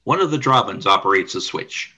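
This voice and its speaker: Husky, animated male narrator. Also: lowish voice, a little fast, highs cut off. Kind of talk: scripted radio play narration.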